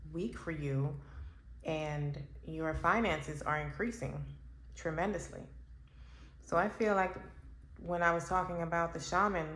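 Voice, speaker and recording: Slow, musing woman reading tarot cards. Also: fairly low pitch, a bit bright. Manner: slow, musing, thinking aloud with pauses and fillers. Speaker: tarot reader. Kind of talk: casual pick-a-card tarot reading. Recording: echoey room with faint background noise.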